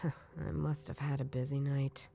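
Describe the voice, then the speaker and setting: Game role: a sardonic, hard-drinking female noir detective, low and dark-voiced, deadpan inner monologue. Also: measured pace, vocal fry, a little hiss or hum, heavily acted. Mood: musing, deadpan. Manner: dry, weary, deadpan.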